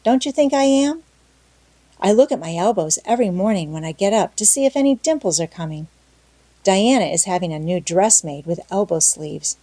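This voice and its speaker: A woman's voice: mid-range voice, expressive, moderate pace, dark tone, dry recording, lightly acted. A woman voicing a talkative, dreamy young orphan girl in an audiobook, gushing earnestly and dramatically. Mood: excited, dreamy. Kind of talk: audiobook character acting.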